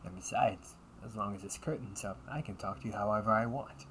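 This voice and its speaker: A man's voice: fairly low pitch, brisk, a bit bright, a little hiss or hum, natural voice, low-quality recording. A man acting as a young delinquent older brother in an audio roleplay, talking in a casual, slangy, needling way. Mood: irritated, blunt.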